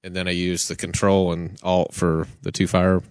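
A man's voice: sits low, monotone, moderate pace, clean tone. A man chatting casually as a guest on a live podcast. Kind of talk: casual chat. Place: gaming convention, live podcast.

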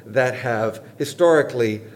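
A man's voice: medium pitch, normal speed. A man speaking reflectively in an interview as an opera composer.

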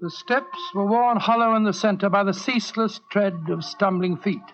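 An earnest, upright doctor tells the story in a dramatic, measured way. His voice sits high for a man, and the recording is dull, like an old radio broadcast. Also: fairly bright tone. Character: earnest male doctor narrator, upright and indignant. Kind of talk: 1940s radio acting, first-person narration.

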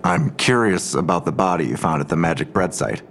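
An actor playing a sardonic, probing investigator with a dry, amused manner in an audio drama. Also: male voice, low voice, animated, brisk, a bit bright, a little hiss or hum. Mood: dry curiosity. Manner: dry, sarcastic.

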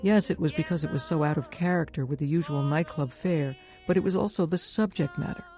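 A woman's voice: low-pitched, fairly quick, mellow. Woman narrating a radio documentary over music. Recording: music playing underneath.

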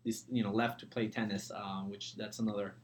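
A man's voice: lowish voice, little pitch movement, brisk. A man in his forties from Mexico, talking casually as an interview guest and entrepreneur on a podcast. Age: 40s.

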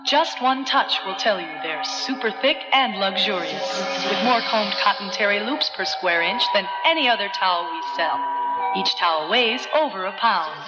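Reverberant American female announcer over loud music. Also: mid-range voice, lively intonation, moderate pace. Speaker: commercial announcer. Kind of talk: 1970s radio ad read. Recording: muffled, reverberant old radio ad.

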